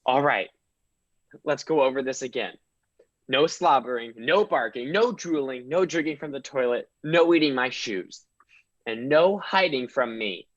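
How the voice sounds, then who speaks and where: A man's voice: mid-range voice, lively intonation, unhurried, no room sound, lo-fi sound. A man playing an earnest, dog-loving small-town detective in a comedic radio play. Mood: stern, fond. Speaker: comedy troupe performer.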